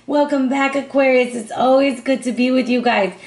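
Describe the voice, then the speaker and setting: A woman with a slightly high voice reads tarot in a chatty, upbeat way, calling her viewers family. Her throat is sore and strained. She sounds like she is in an echoey room at home. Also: fairly even intonation, normal speed. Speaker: tarot reader. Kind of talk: casual tarot reading to camera.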